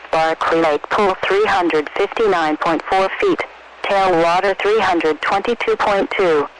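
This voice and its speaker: A man's voice: high voice, a bit bright. A synthetic-sounding man reads formal weather reports impersonally as an automated announcer heard over radio. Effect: radio broadcast reception. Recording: faintly noisy weather-band radio reception.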